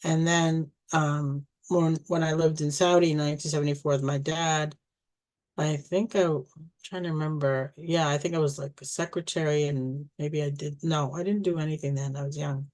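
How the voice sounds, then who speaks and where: A woman in her sixties, originally from Egypt, telling her life story in a casual, reminiscing way, pausing and restarting often and laughing softly now and then. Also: deep voice, fairly even intonation. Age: 60s. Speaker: family storyteller.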